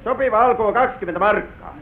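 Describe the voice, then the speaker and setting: A man's voice: high voice, natural voice. A male actor playing a joking country auctioneer with theatrical patter in an old radio play. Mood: jocular. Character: jocular male country auctioneer, wordplay and drawn-out calls. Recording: old narrow-band radio recording, roomy echo.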